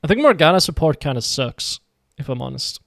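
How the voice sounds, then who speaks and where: A man's voice: on the high side, very animated, a little fast, vocal fry, a bit bright, no room sound, studio quality. A man from Denmark chatting casually while streaming a game, rambling and answering his viewers' messages. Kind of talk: casual live game commentary.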